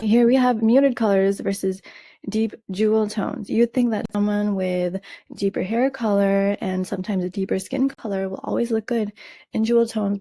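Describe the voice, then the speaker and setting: Raspy woman explaining color styling. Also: medium pitch, warm tone, dry recording, studio quality. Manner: measured, friendly explaining with fillers and restarts. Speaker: color analysis host. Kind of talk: explaining over a slideshow.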